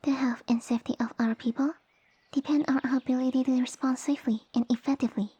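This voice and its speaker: Girl doing a sassy little lamia boss lady, asmr roleplay, soft breathy voice, really close and dry, bossy formal talk. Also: high-pitched, fairly even intonation, dry recording. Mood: stately, concerned. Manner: formal, imperious, measured phrasing. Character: small, sassy, haughty female lamia village ruler and boss. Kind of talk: ASMR roleplay audio addressed to the listener.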